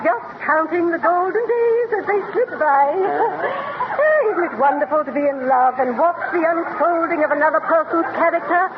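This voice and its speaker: A woman playing a gushing, affected high-society lady in love, in a 1930s radio comedy acting style. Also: lively intonation, moderate pace. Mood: gushing, giddy. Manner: fluttery, genteel, affected. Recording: tinny, noisy 1930s radio recording with audience.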